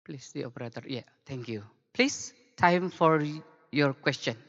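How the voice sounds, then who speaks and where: A man's voice: a little high, moderate pace, a bit bright. A man moderating a session, making brief formal announcements. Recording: echoey hall, muffled.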